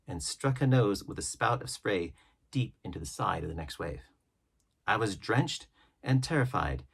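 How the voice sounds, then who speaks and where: A man reading an adventure novel aloud as a storybook narrator, with measured, dramatic phrasing. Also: fairly low pitch, breathy edge. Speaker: audiobook reader.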